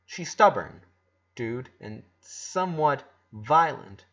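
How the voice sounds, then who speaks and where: A man acts out a kind, eager young boy of eleven in a storybook reading. The voice is husky, with an earnest, reassuring and slightly hesitant manner. The recording sounds a bit dull. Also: medium pitch, animated, slow pace, fairly bright tone, exaggerated voice.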